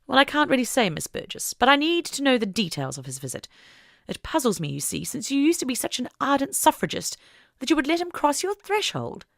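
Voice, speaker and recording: Woman acting a steely young female investigator, animated pitch. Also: fairly quick, creaky, dry recording, clean studio sound, heavily acted. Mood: coolly probing. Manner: stern, probing, polite. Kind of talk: audiobook character acting.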